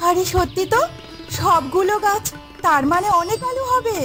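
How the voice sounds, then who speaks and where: High-pitched practical village wife. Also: female voice, animated, normal speed, over music. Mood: brisk, hopeful. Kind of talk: cartoon voice acting.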